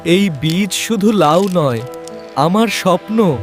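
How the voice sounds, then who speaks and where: Young dreamer farmer in a cartoon , male actor: high, earnest, intense delivery with prominent music behind. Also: animated, slow. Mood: defiant hope. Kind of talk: scripted cartoon dialogue.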